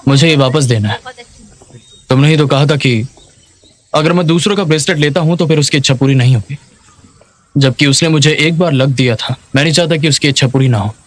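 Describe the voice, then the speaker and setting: A man's voice: mid-range voice, brisk, long pauses, close to an everyday voice. A male voice actor dubbing a composed, self-assured boss in a drama. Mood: earnest. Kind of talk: dubbed drama dialogue.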